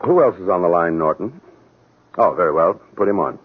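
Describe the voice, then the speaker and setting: An actor playing an uneasy executive accomplice in a 1970s radio drama, speaking in a formal, clipped, officious way. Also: male voice, low voice, animated, brisk, a little hiss or hum. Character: smooth-talking, uneasy executive accomplice and lover. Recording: muffled, phone-like old radio recording.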